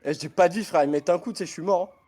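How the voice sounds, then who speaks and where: A man's voice: high-pitched, very fast, darkish tone. A man playing a rowdy protester in a role-play game, chattering in a slangy, provocative way. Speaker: player acting a rowdy protester. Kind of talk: excited gaming chatter.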